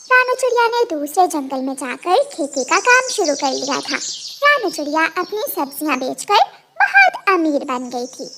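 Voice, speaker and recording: A woman's voice: very high voice, pushed and bright, slight background noise. A woman giving scripted narration for a children's cartoon story.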